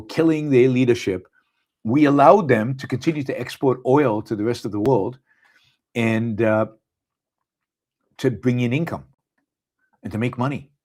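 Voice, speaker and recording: A middle-aged man with an Israeli accent, hosting a live show in a casual, halting monologue with many fillers and restarts. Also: medium pitch, a little mellow. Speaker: podcast host. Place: abroad, speaking on a live stream.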